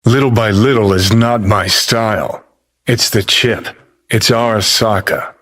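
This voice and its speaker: An actor playing a cynical rebel rockerboy ghost in a video game, talking in a gruff, sardonic drawl with clipped phrases. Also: male voice, lowish voice, fairly even intonation, unhurried, fairly bright tone. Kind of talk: video game character voice acting.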